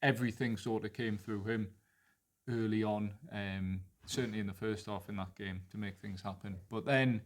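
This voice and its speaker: A man's voice: lowish voice, fairly even intonation, normal speed. Geordie man analysing football casually. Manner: fluent, analytical, thinks aloud with restarts. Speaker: football podcast co-host.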